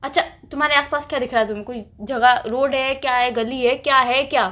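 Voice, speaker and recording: A woman's voice: high-pitched, steady tone, fast, bright, forceful tone. A young woman playing a girlfriend on a staged couple phone call, talking in an urgent, insistent and dramatic way.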